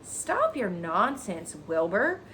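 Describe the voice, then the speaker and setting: Woman as a scolding old sheep, animated. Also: medium pitch, slow pace, a little hiss or hum, full character voice, rough recording. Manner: stern, lecturing.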